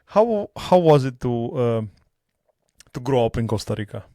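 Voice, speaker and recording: A man's voice: mid-range voice, very animated, moderate pace, mellow, no echo. A man in a mid-range voice hosts a podcast and asks casual questions with a joking edge. He trips over his opening words, then talks on. The sound is close and dry. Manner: stumbling, jokey questions. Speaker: podcast host. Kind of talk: casual interview.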